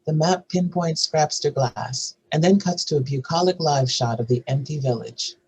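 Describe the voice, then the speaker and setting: A man's voice: a little high, moderate pace, a little mellow, slightly rough, rough recording. A man narrating screenplay stage directions at a table read.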